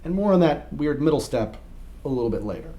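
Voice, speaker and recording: A man's voice: on the high side, very animated, brisk, dark tone, muffled recording. A young adult man, co-hosting a scripted explainer to camera. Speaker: co-host. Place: small indoor room set with whiteboard.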